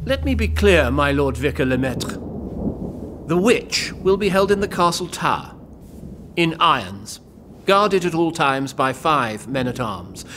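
A man's voice: fairly high pitch, sing-song, a little slow, background noise. A man playing a cold, imperious medieval bishop who threatens and commands in an audio drama. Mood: commanding. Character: menacing, domineering medieval bishop acting as judge.

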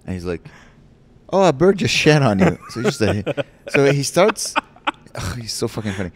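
Animated man. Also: medium pitch, moderate pace, husky. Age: 40s. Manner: animated storyteller, acts out quotes with big voice jumps. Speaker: podcast co-host. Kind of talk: casual comedic chat. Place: living room on a couch, indoors. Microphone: handheld mic.

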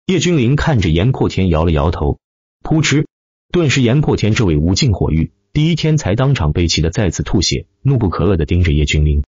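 Husky, deep-voiced male audiobook narrator. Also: very animated, a little fast, darkish tone, no room sound, muffled recording. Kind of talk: dramatic scripted narration of a fantasy web novel.

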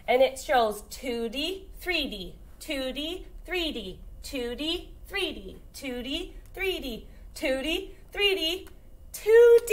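Young female kindergarten teacher, high-pitched and slow, animated delivery for little kids. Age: young adult. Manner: encouraging, animated, addressing small children. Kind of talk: children's lesson to camera. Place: indoor classroom.

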